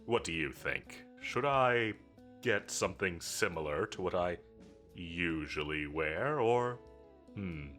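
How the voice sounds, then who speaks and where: A man acts out a suave villain boss for a roleplay audio drama. His low voice is crisp and forward, unhurried in every phrase. He is confident and flirtatiously teasing, with the edge of someone used to giving orders, over soft music. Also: expressive, heavily acted. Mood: casual, teasing. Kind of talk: scripted roleplay audio drama addressed to the listener.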